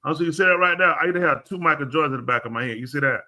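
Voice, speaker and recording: A man, co-hosting a live show and chatting casually with animated exclamations. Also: a little high, very fast. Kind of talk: casual chat.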